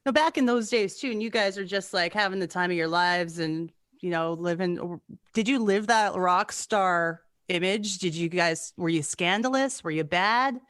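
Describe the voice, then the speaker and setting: A woman's voice: on the low side, normal speed, fairly bright tone, dry recording, studio quality. A woman hosting a podcast, chatting informally and jokingly as she interviews a guest. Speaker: podcast host, singer. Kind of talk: casual interview chat.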